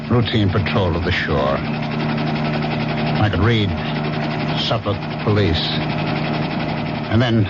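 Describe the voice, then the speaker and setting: An actor playing a guilty, secretive husband in a 1970s radio suspense drama, brooding and evasive. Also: male voice, sits low. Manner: brooding when narrating, hesitant and evasive in conversation. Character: guilty, secretive husband narrating his own crime. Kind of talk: 1970s radio suspense drama, first-person narration and dialogue. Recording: noisy, muffled old 1970s radio recording.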